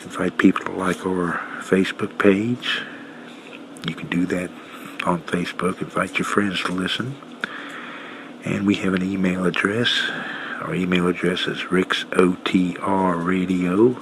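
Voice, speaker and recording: Very low, gravelly, slow man. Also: little pitch movement. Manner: halting, low-key. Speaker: host of an old-time radio show. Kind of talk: casual unscripted sign-off talk. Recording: clearly noisy home-made recording.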